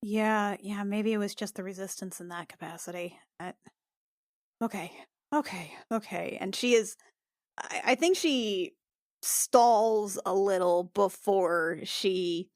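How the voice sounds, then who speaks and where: A woman's voice: mid-range voice, slow, a little mellow, no room sound, clean studio sound. A woman playing a tabletop role-playing game, speaking hesitantly and repeating words as she gathers herself. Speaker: player.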